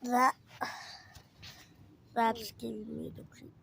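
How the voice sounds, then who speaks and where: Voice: mid-range voice, little pitch movement, slow, gravelly, bright, forceful tone, slight background noise. A child hosting a casual amateur video, talking to camera and companion in a bossy, repetitive way.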